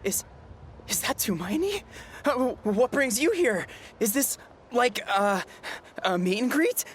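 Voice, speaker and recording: A woman playing a nervous, starstruck young woman in a video game, stammering and halting. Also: fairly high pitch, sing-song, slight background noise. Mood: flustered, nervous.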